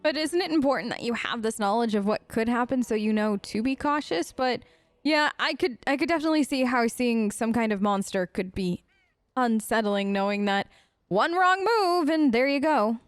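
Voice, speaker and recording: A woman's voice: a little high, normal speed, dry recording. A woman hosting a role-play let's play, improvising her character's side of the dialogue in a chatty, conversational way. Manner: chatty, conversational, reacts with questions.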